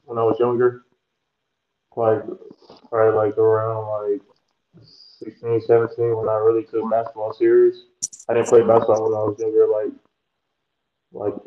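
A young man talks in an easygoing, rambling way, with lots of "uh" and "like" and sentences he restarts. His voice stays flat and even. The sound is muffled and dull, with a roomy echo around it. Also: fairly low pitch. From Georgia, USA. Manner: laid-back, rambling with many fillers and restarts. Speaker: guest, pro basketball player. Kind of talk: casual interview chat.

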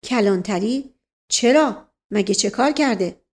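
A woman's voice: mid-range voice, animated, lightly acted. A woman voicing a grave, agitated older man, an imperious uncle in a story, with dramatic delivery. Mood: anxious, impatient.

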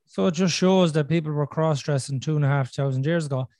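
Guy on a podcast, earnest, thinks out loud, slightly high voice, dry close sound. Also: fast, no room sound. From Ireland. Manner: earnest, thinking aloud with restarts and "you know". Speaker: podcast host. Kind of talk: casual conversational podcast chat.

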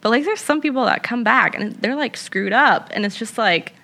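A woman's voice: on the high side, lively intonation, moderate pace, pushed and bright. A young woman talking casually and reflectively, rambling with many fillers as she shares her experience. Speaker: guest. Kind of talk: casual conversation.

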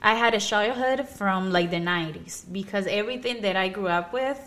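A woman's voice: medium pitch, normal speed, a bit bright. A young woman with a Cuban accent gives casual, emphatic interview answers, peppering her speech with "like". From Cuba. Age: young adult. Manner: chatty, emphatic, frequent use of "like". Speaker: interview guest, aspiring actress and model.

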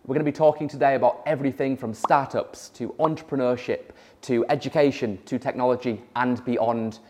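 A man from York with a mild Yorkshire accent hosting an interview podcast, with a friendly, affirming manner and some halting restarts. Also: medium pitch, fairly even intonation, a little mellow. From York, England. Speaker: podcast host.

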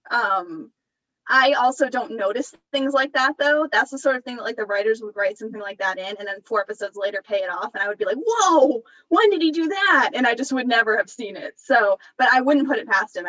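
A young woman chats through a TV recap on a podcast, laughing easily between thoughts. Her voice sits a touch high. She sounds a little distant from the mic, with a dull, muffled tone. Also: a little fast. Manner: chatty, laughs often, animated asides. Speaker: podcast co-host. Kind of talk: casual chat recapping a TV episode.

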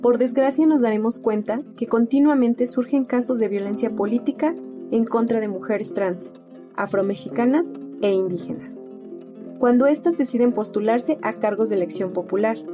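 A woman with a fairly high voice reads a formal informational script. Her delivery is clear and deliberate. Plucked guitar music plays clearly underneath. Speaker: narrator.

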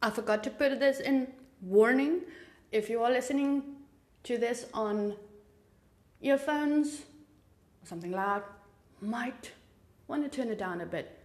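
A woman's voice: a little high, a little slow, long pauses, darkish tone, echoey room. A woman from South Africa whose first language is Afrikaans, hosting a true crime podcast in a chatty, informal way with rambling asides and a South African accent. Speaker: podcast host. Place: home room with papers on desk.

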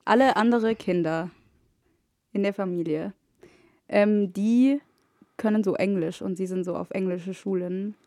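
A woman's voice: medium pitch, a little slow, pauses often, warm tone, no room sound, clean studio sound. A woman from Egypt, a native Arabic speaker, chatting as a podcast guest and often slipping English words into her talk. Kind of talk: casual chat.

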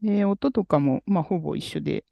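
A man co-hosting a podcast, telling a story in a relaxed, rambling way with many fillers. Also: a little high, fairly quick, vocal fry, no echo. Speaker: podcast co-host. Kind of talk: casual chat about music.

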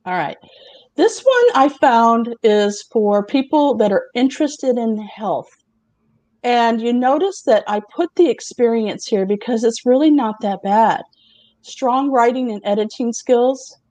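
A middle-aged woman hosting a casual talk show, chatty and quick to laugh as she presents remote job openings and asks the others questions. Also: a little high, a little mellow, no room sound.

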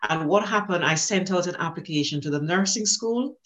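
A middle-aged Jamaican woman telling the story of her life as a guest on a podcast. She speaks with an easy, animated rhythm and laughs often as she remembers. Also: on the low side, a little fast. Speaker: guest, teacher. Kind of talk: conversational storytelling.

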